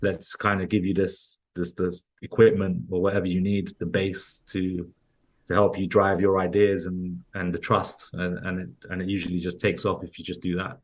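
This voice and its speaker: A man with a London accent speaks as a podcast guest, his voice sitting very low. He talks loosely, circling back and restarting his sentences with plenty of "like" and "you know.". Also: little pitch movement, normal speed, slightly rough. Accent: London English. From London, England. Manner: rambling, many restarts and "like"s. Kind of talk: casual interview conversation.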